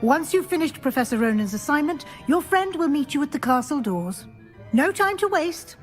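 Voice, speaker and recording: A woman playing a brisk, watchful professor at a wizard school in a video game, speaking formally and matter-of-factly. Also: high-pitched, sing-song, faint music behind. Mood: brisk, cautioning. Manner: formal, crisp, matter-of-fact. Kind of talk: scripted video game acting.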